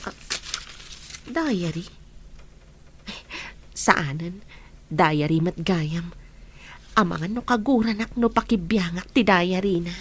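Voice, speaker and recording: A woman's voice: on the low side, slow, long pauses, slight background noise. A woman acting a young, nosy and secretive friend in an Ilocano radio drama. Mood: secretive, suspicious.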